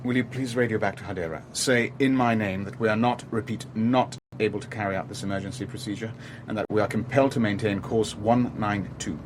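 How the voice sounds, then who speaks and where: Low-voiced, cool-headed ship owner played by a man. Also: steady tone, fairly quick, few pauses, noisy background, close to an everyday voice. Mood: firm. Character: male ship owner, cool-headed bluffer narrating his own thriller. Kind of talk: radio drama acting and first-person narration.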